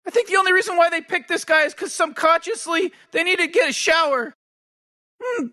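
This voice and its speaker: A man's voice: very high-pitched, brisk, pushed and bright, heavily acted. A man voicing a nervous, timid teenage boy sidekick who stammers and whines. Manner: stammering, anxious, whiny. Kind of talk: comedic commentary on game characters.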